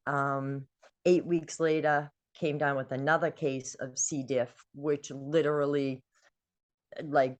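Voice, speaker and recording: An older woman from New England shares a personal story in a low, unhurried voice. She talks earnestly and with feeling, filling her pauses with ums. Her delivery is slow and heartfelt. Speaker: guest. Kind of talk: personal testimony.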